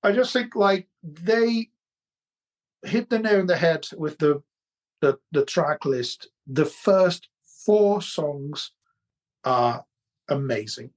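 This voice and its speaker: A man with a Brazilian accent co-hosting a relaxed music podcast. He talks in a casual, searching way, often repeating words before finishing a thought. His voice sits a little on the higher side for a man. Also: slow pace, pauses often. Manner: hesitant, repeats words while searching for them. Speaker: podcast co-host. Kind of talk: casual chat.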